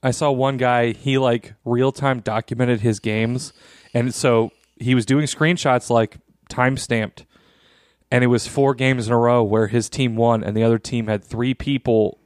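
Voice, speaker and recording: A man's voice: medium pitch, steady tone, unhurried, no echo, clean studio sound. A man co-hosting a casual gaming podcast, telling stories in a loose way with casual asides. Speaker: podcast co-host. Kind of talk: casual chat.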